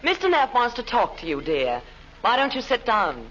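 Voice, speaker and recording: An actress playing a scheming, sardonic wife, bossy and mock-sweet, in 1940s radio comedy style. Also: female voice, fairly high pitch, wildly swooping pitch, normal speed. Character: scheming wife, a sardonic comic femme fatale. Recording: noisy, muffled old radio recording.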